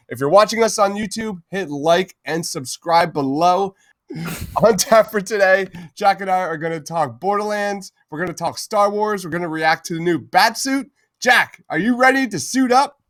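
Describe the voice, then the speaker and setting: A man's voice: sits high, expressive, moderate pace, a bit bright, dry recording, studio quality. A man hosting a casual pop-culture podcast, talking informally and enthusiastically with plenty of slang. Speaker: podcast host.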